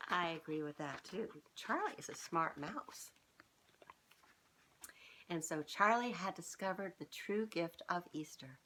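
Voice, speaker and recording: Low-voiced woman reading to children. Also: a little slow, a little hiss or hum, rough recording. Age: middle-aged. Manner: expressive, speaking directly to young children. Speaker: children's author reading aloud. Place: small furnished living room, indoors.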